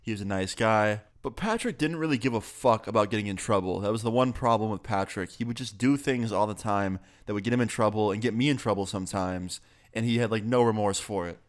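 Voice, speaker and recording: Young man, sick, casual storytime, profane, lowish voice. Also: brisk. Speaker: YouTuber.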